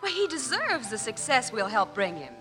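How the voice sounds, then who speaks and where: Poised female reporter; highish, animated voice, old-time radio drama. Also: normal speed, long reverb tail. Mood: reasoning. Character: poised, open-minded American female newspaper reporter. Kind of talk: 1940s radio drama acting. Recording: old radio broadcast with music.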